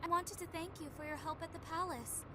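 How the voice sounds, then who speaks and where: A woman's voice: very high-pitched, fairly even intonation, a little fast, noisy background. A woman playing an earnest, spirited young heroine with a girlish manner in a radio drama. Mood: earnest, confiding. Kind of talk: fan radio drama acting. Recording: loud background music.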